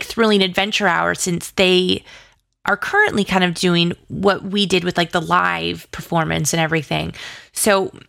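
A woman's voice: mid-range voice, gravelly, a bit bright, no room sound, studio quality. A woman speaking casually as an interview guest, in informal, hesitant phrasing peppered with "like". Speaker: guest, audio drama co-creator and writer.

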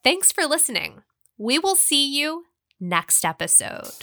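A woman's voice: sits high, very animated, unhurried, pushed and bright, soft background music. An American woman hosting a podcast, reading scripted recommendations in a presenter's delivery. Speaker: podcast host.

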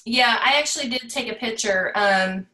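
A woman's voice: a little high, normal speed, sharp tone, echoey room, rough recording. A woman from Virginia in a friendly, chatty podcast conversation. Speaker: hand-lettering artist guest. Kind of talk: casual podcast chat.

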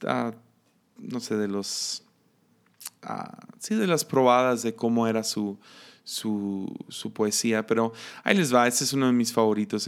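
A man's voice: lowish voice, gravelly. A man giving an informal Bible lesson, hesitant, with many filler sounds and restarts. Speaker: Bible teacher.

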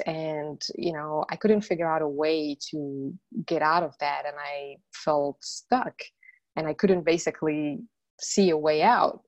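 A woman's voice: sits low, no room sound. A middle-aged woman from Morocco, an interview guest telling her story earnestly, with many "you know" fillers.

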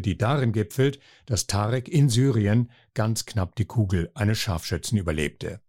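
Mellow husky older male podcast host. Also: lowish voice, no room sound, clean studio sound. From northern Germany. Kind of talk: scripted intro then interview.

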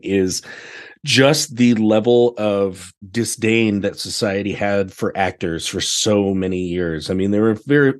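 Enthusiastic guy on a podcast, casual chatty, mid pitch, kinda acts out his points. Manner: enthusiastic, animated storytelling with acted-out asides. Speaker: podcast guest, theatre director. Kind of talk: casual chat.

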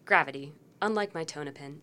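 A woman's voice: deep voice, fairly quick, pushed and bright. Upbeat woman hosting a medical podcast. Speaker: host, physician.

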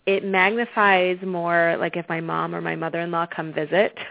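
A woman's voice: fairly low pitch, normal speed, vocal fry. A woman from western Canada with a Canadian accent, a guest author talking casually in an interview. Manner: relaxed, conversational with small restarts. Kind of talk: interview on a call-in show. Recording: tinny phone line.

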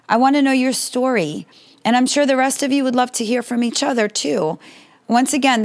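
Woman podcast host, a little raspy, earnest and personable, solo advice talk. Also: mid-range voice. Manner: friendly, earnest, direct address to listeners.

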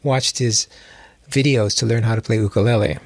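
A man's voice: on the low side, steady tone, normal speed, dry recording, studio quality. A man from Hawaii telling stories casually as a guest musician and teacher, reflective and often rephrasing as he goes. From Hawaii, United States. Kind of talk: casual interview storytelling.

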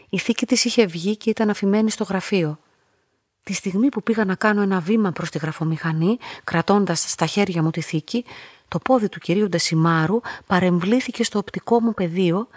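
An actress playing a lonely, quietly curious young woman in a radio play, telling her story in a measured, introspective way. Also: medium pitch, no echo, clean studio sound, close to an everyday voice. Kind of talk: literary radio play narration and dialogue.

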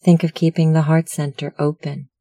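A meditation instructor narrating a guided meditation in a measured, soothing, instructional manner. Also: mid-range voice, flat delivery, warm tone, no echo.

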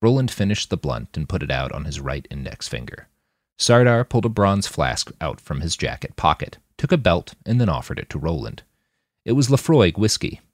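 A man's voice: low voice, sing-song, moderate pace, dry recording, studio quality. A man reading scripted fiction as an audiobook narrator.

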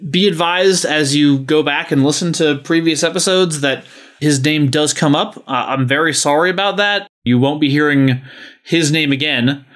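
A man's voice: on the high side, normal speed, a bit bright. A man hosting a podcast, talking to listeners in a frank, emphatic way with some swearing. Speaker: podcast host. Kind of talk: podcast talk, addressing listeners.

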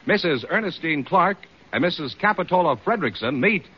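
An American man works as announcer on a vintage radio quiz. He reads rules and scores in a higher, very animated voice. The sound is dull, with faint noise behind it. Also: normal speed.

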